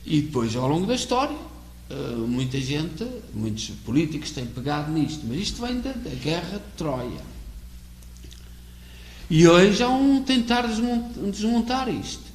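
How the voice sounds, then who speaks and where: A man from Portugal with a European Portuguese accent, preaching an earnest sermon in a halting way, often repeating words as he searches for the next one. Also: fairly high pitch, big pitch swings. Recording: echoey room with faint hum.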